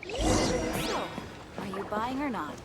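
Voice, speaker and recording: Wry bookseller with lively intonation. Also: female voice, sits high, slow pace, very noisy, full character voice. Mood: wry, surprised. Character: brisk, wry female bookshop keeper. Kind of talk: scripted game dialogue. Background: background music.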